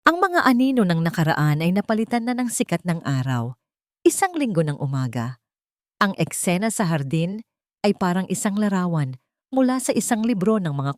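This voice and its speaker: Low-voiced woman narrating a sentimental drama. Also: darkish tone, no room sound, studio quality. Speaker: storyteller, narrator.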